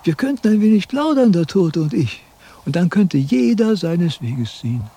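Cantankerous old philosopher, creaky high voice. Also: male voice, sing-song, moderate pace, dark tone, heavily acted. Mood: musing. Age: older. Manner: declamatory, self-questioning, cantankerous. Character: vain, grumbling old wandering philosopher with grey beard. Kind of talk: theatrical radio drama monologue. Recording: noisy 1970s radio drama with sound effects.

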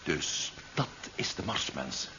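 An actor playing a grave, earnest investigator in a 1970s science fiction radio play, speaking insistently and dramatically. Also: male voice, low-pitched, very animated, takes it slowly, sharp tone, full character voice. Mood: grave realisation. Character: urgent, grave male investigator warning of alien invasion. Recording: noisy, dull 1970s radio recording.

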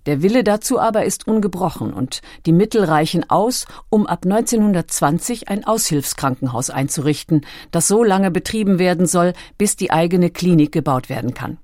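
A woman reading scripted documentary narration in a measured, factual manner. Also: on the low side, expressive, a little mellow, no room sound, clean studio sound.

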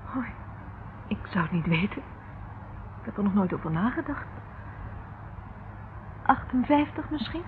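A woman's voice: medium pitch, very animated, a little slow, pauses often. An actress playing a frightened, bewildered young woman who pleads hesitantly in a radio drama. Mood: pleading. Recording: tinny band-limited old radio recording, noisy.

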